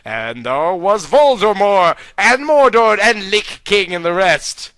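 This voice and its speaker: A man reading a clumsy fan story aloud in a deadpan, mock-earnest comic style, close to the mic. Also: high-pitched, takes it slowly, smooth, no echo. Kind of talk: comedic dramatic reading.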